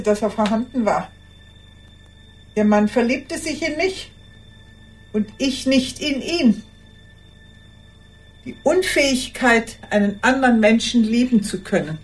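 An actress playing an old retired schoolteacher who speaks formally and deliberately in a confessional radio play monologue. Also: female voice, fairly high pitch, lightly acted, rough recording. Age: older. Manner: formal, deliberate, addressing a silent listener.

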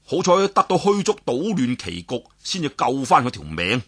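A man with a Cantonese accent telling a martial-arts story in a lively, dramatic storyteller's manner, with sudden exclamations and voices for the characters. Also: medium pitch, moderate pace, breathy edge.

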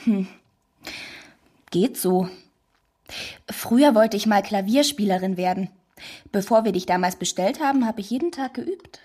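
A woman's voice: mid-range voice, lively intonation, measured pace, long pauses, mellow, husky. An actress playing a wistful, understated mother in a German children's radio play. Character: wistful mother, former would-be pianist.

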